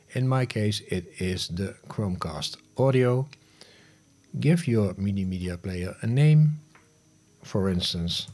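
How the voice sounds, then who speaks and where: A man in his fifties with a fairly low, noticeably husky voice hosts a scripted software tutorial. He speaks in instructional, step-by-step phrases into a close studio microphone, with some music behind him. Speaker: tutorial host. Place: home studio room, indoors. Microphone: close studio microphone on a boom arm.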